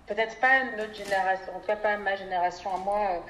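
An articulate woman answers interview questions thoughtfully. Her voice sits at a medium pitch, in a reverberant room with faint background noise. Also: fairly even intonation, fast, fairly bright tone. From Belgium. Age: 30s. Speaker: interview guest, development NGO worker. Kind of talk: reflective personal interview answers.

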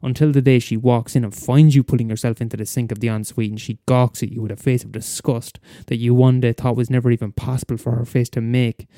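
A man's voice: medium pitch, level tone, quick pace, hardly any pauses, warm tone, dry recording, clean studio sound, lightly acted. A man playing a bitter, weary middle-aged schoolteacher in a wry, wordy confessional story monologue. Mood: bleak, disillusioned. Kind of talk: first-person dramatic monologue.

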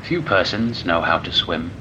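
A man playing a formal, old-fashioned lecturer in an audio drama monologue, speaking in a measured, rhetorical way with loud rumbling behind. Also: lo-fi sound, background noise, lightly acted. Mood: measured, didactic. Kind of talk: slow dramatic monologue reading.

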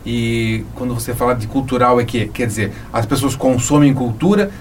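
A man's voice: medium pitch, normal speed, a little hiss or hum. A man with a Brazilian accent interviewing a guest casually as the host of a show.

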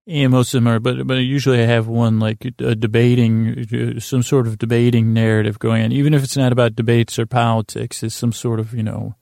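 A man with a creaky, gravelly voice at a middling pitch, recorded close and dry. He hosts a sleep podcast, meandering along with halting stammers, repeated false starts and a self-deprecating manner. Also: little pitch movement, darkish tone, dry recording. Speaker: podcast host. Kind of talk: rambling sleep podcast monologue.

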